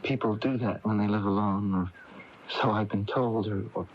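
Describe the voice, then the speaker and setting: An actor playing a strange, withdrawn, lovesick young man in a radio mystery drama, with a halting, stammering delivery and unsettling intensity. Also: fairly low pitch, fairly even intonation, natural voice. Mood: nervous, eager. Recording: dull, noisy off-air radio tape.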